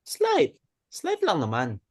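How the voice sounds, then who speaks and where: A man's voice: a little high, wildly swooping pitch, takes it slowly, slightly rough, no room sound, clean studio sound, full character voice. A man in a dramatic reading playing a loyal, frank club member who speaks matter-of-factly. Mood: frank, teasing.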